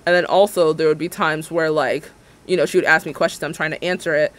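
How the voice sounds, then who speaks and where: Brief: ranting young male streamer, higher voice. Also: fast. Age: 20s. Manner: ranting, animated, sarcastic, mimics others' words. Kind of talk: casual livestream chat while building in a game.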